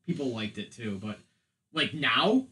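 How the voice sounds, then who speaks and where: A man's voice: medium pitch, very animated, measured pace, bright, forceful tone, slight background noise. A man talking casually as a podcast panelist, giving opinions and often repeating words as he thinks aloud.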